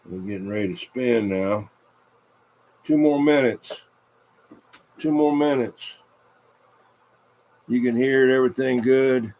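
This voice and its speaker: A man's voice: medium pitch, takes it slowly, pauses often, darkish tone, low-quality recording. A man hosting a game over the radio with informal, repetitive live chatter, announcing as he goes. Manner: informal, repeats himself.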